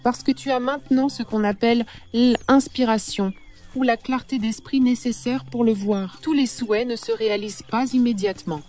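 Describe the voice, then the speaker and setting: A woman playing a calm, solemn spiritual guide in a cartoon, teaching in a measured, didactic way. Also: a little high, natural voice. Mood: reassuring. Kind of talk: solemn instructive dubbed cartoon dialogue. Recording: echoey reverberant hall, music behind.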